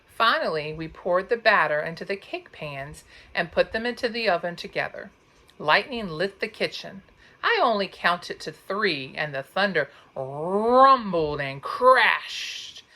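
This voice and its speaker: A woman's voice: fairly low pitch, lively intonation, bright, forceful tone. A woman reading a children's story aloud expressively, like a teacher, with dramatic emphasis. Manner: dramatic, drawn-out emphasis on sound words and counting.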